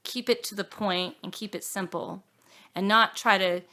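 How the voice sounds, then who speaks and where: A woman songwriter talks as a guest in a reflective interview. Her voice sits at an ordinary middle pitch. She is earnest and halting, circling back and leaving phrases unfinished. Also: fairly even intonation, sharp tone. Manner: earnest, halting, with self-quotes and trailing phrases.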